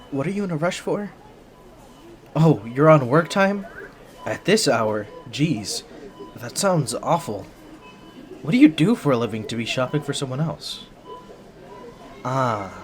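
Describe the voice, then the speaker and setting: A man's voice: medium pitch, natural voice. Man playing a nervous, stammering sweet bunny boy. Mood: shy, sympathetic. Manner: stammering, hesitant, bashful, earnest. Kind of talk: intimate ASMR roleplay addressed to the listener.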